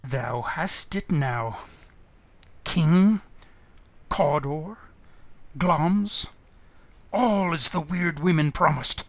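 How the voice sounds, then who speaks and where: A man's voice: a little high, drawn-out words, pauses often, no room sound. A man playing a solemn, suspicious Scottish nobleman in a Shakespearean radio drama. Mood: suspicious, brooding.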